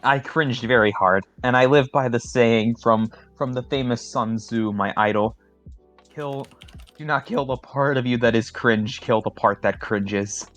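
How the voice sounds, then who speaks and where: Guy hosting a stream, jokey and sweary, pauses for drama, music playing behind him. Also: medium pitch, a bit bright, highs cut off. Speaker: host and judge of a fanfiction contest stream. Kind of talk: casual group livestream chat.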